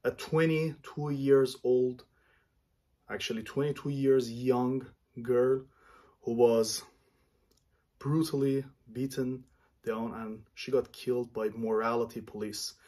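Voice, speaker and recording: A man's voice: mid-range voice, fairly even intonation, warm tone. Upset Iranian-born man vlogging to camera. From Iran. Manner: halting, searching for words, upset. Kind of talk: earnest address to camera. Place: small room at home, indoors.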